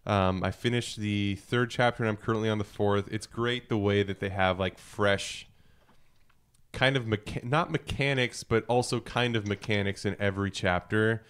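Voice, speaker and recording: A man with a fairly low voice and a clear, smooth tone. He talks casually as a podcast co-host among fellow gamers. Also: steady tone, moderate pace, fairly bright tone. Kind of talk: casual gaming podcast chat.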